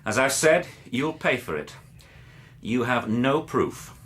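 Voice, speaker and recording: Sneering, sharp-edged young English gentleman villain. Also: mid-range voice, big pitch swings, takes it slowly. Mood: sneering contempt. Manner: sneering, haughty, explosive. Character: arrogant, vicious young English gentleman criminal, contemptuous son. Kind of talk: radio drama acting.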